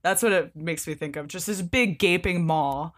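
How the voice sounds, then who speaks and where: A woman's voice: lowish voice, normal speed, a bit bright. A woman co-hosting a podcast, chatting casually and enthusiastically about a comic, with loose, sweary phrasing. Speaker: podcast co-host. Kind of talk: casual comic book discussion.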